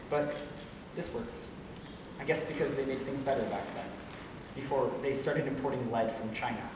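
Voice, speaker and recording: A man playing a gloomy, sleepless high school student in a stage monologue, halting, dry and bitterly self-mocking. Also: lightly acted. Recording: echoey hall from far off, noisy.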